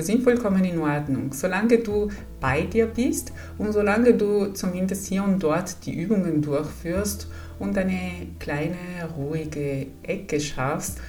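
A woman with an Italian accent speaks in a low, calm voice, guiding listeners through her podcast in a gentle, encouraging way. She sits a little back from the microphone, and quiet music plays faintly behind her. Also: far from the mic. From Italy. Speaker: psychologist and podcast host. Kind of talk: calm explanatory podcast talk.

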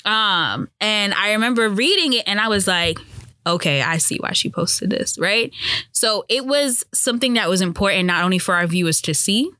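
A bright-voiced woman co-hosting a casual podcast chat, animated and emphatic, who often talks over the others. The sound is dry and close. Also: mid-range voice, moderate pace, dry recording. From Haitian. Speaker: podcast co-host.

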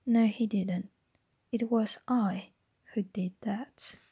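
A woman's voice: medium pitch, steady tone, slow pace, warm tone, husky, no room sound, clean studio sound, heavily acted. Woman whispering as a menacing grizzled old wizard. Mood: menacing hush. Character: grizzled older male wizard, menacing, secretive. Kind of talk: ASMR audiobook reading.

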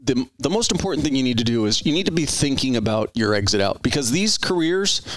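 Earnest middle-aged podcast host. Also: male voice, medium pitch, animated, quick pace, no echo. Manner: earnest, rambling with restarts. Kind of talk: conversational interview.

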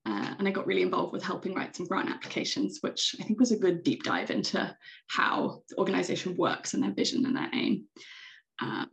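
A woman's voice: mid-range voice, vocal fry, rough recording. A young British woman with a British accent, answering podcast interview questions in an enthusiastic, chatty way with frequent ums. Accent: British English. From Britain. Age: young adult. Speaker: secretary general of a youth organization.

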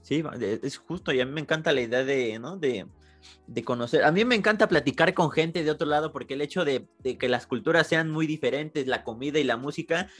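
Higher-voiced Mexican man hosting. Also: brisk, a bit bright, soft background music. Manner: upbeat, friendly, informal. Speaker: podcast host. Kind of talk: casual interview.

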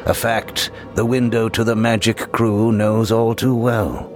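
Very deep, slow, spooky storyteller played by a man. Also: flat delivery, close to an everyday voice. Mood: genial, spooky. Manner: measured, ominously playful. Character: genial, mysterious Halloween storyteller host. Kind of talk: theatrical scripted narration. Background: music.

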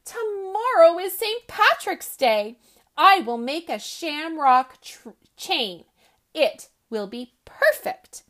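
An earnest little storybook rabbit, put on by a woman reader. His voice sits high and leaps about with every worry and hope. He speaks slowly, as if to small children. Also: full character voice. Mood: excited. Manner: excited, high and bright in his exclamations. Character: eager little male rabbit, earnest and fretful.

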